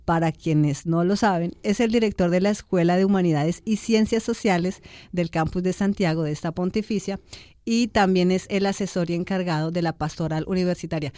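A woman's voice: fairly low pitch, a little fast, dark tone, no room sound. Chatty woman interviewer, dry close sound. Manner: chatty, informal, uses diminutives. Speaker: interview programme host. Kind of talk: conversational radio-style interviewing.

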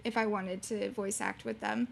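A woman's voice: fairly even intonation, normal speed, gravelly, slight background noise. A young woman with a youthful voice and a bubbly, giggly manner, chatting casually as a guest in an interview. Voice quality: high, youthful voice. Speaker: podcast guest, streamer and beginning voice actor.

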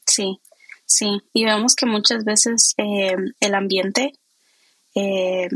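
Husky-voiced woman podcast host. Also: medium pitch, steady tone, very slow, a bit bright, dry recording, rough recording. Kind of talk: podcast interview.

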